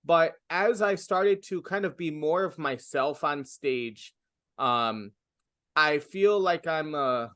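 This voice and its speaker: A man's voice: on the high side, slow, fairly bright tone, clear, resonant tone, no room sound, studio quality. A young adult man, a comedian, talking reflectively in a casual interview, with lots of fillers and restarts.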